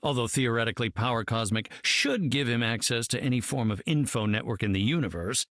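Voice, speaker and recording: An actor playing an earnest, self-assured scientist hero in a video game, articulate and formal. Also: male voice, medium pitch, fairly quick, fairly bright tone, no room sound, clean studio sound, close to an everyday voice. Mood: pedantic. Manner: articulate, formal, matter-of-fact.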